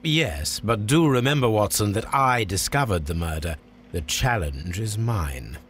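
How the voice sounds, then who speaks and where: Posh formal english detective in a game, low voice, swings around a lot, very sure of himself. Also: male voice, lively intonation, unhurried, a bit bright, a little hiss or hum. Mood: self-assured. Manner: precise, formal, self-assured. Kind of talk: video game cutscene acting.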